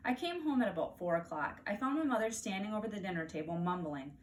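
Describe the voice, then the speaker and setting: A woman with an American accent reads a children's story aloud as a teacher, animated and deliberate for young listeners. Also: medium pitch. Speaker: teacher reading aloud to first graders. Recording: distant mic, faint background noise.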